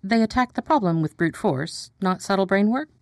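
A woman acting as a brisk, formal female news presenter in a radio play. Her delivery is pointed and challenging, with very animated pitch in a dry studio sound. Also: no echo, close to an everyday voice. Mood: probing.

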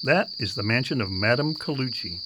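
A man playing an earnest, formally polite Victorian gentleman in a radio drama. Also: medium pitch, animated, brisk, lightly acted. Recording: noisy night ambience with crickets.

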